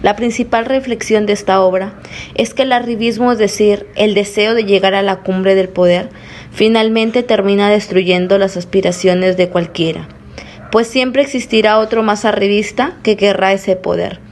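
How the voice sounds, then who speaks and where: A young woman delivers scripted, reflective commentary as a student presenter. Her voice sits at a middle pitch. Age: young adult.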